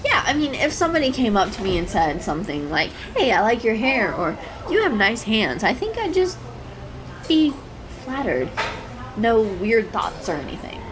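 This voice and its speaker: A woman's voice: a little high, big pitch swings, moderate pace. A woman playing a shy, anxious young woman in an audio roleplay, rambling nervously and self-consciously to a stranger. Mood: bashful, grateful. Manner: nervous, hesitant, rambling, self-deprecating, giggly. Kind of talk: intimate audio roleplay addressed to the listener. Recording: coffee shop chatter behind voice. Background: coffee shop crowd sound effects.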